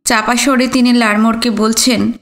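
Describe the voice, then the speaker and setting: A woman's voice: on the high side, steady tone, normal speed. A woman reading literary fiction aloud as a scripted audio story narration.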